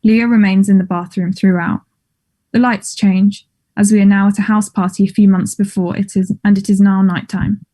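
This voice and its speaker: Female narrator, mellow, medium-pitched, reads scripted stage directions. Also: fairly even intonation, normal speed.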